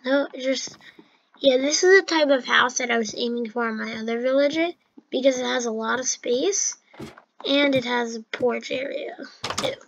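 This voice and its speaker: A woman's voice: high voice, moderate pace, highs cut off. A woman giving casual, chatty commentary over her own gameplay, with fillers and restarts. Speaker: gameplay narrator.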